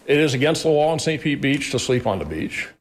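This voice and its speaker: A man's voice: medium pitch, big pitch swings, fairly quick. A male law enforcement official with a mid-range voice. He speaks in an unscripted public statement, laying out his points. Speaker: county sheriff.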